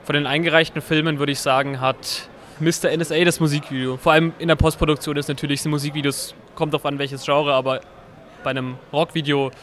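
A nineteen-year-old man, a young filmmaker, explaining his work in a measured way with fillers, outdoors at a festival. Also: fairly high pitch, little pitch movement, fairly bright tone. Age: teens.

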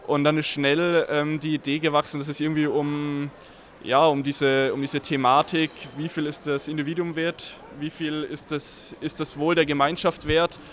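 A man's voice: fairly high pitch, little pitch movement, moderate pace, a bit bright. Hesitant teenage man, faint traffic behind. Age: teens. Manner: hesitant, many restarts. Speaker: young filmmaker.

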